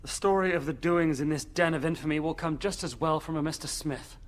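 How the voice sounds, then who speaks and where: A man's voice: a little high, fairly quick, close to an everyday voice. An actor playing a desperate, impatient prisoner in a period audio drama, anguished and formal in his diction. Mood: desperate, weary. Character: desperate, impatient male prisoner begging for freedom.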